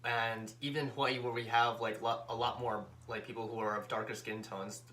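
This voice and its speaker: A relaxed man chatting on a couple vlog, his voice pitched in an ordinary middle range. He talks thoughtfully, often hedging and pausing to find the right phrasing. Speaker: co-host, the vlogger's fiancé. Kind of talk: casual chat.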